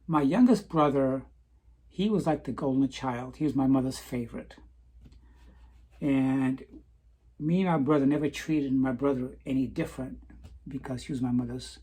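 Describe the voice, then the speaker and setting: A middle-aged woman telling her own life story in a slow, hesitant way, often pausing with "um" and restarting her words. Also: low-pitched, warm tone, husky. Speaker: vlogger. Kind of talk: personal confessional monologue.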